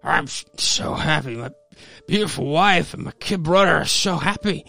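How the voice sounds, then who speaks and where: A slurring drunk husband, acted out by a man, pitched high and full of dim enthusiasm. He stammers and hesitates through a radio-play story, recorded close and dry. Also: moderate pace, vocal fry, pushed and bright, dry recording, soft background music. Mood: drunken glee.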